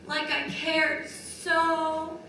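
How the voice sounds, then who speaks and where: Woman performing battered wife, stage monologue, slow halting phrases, bright tone, wounded, far-off echoey recording. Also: sits high, level tone, heavily acted. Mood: subdued, haunted. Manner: deliberate, halting delivery with short broken phrases. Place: large echoey room, distant microphone. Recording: very echoey hall from far off, faint noise.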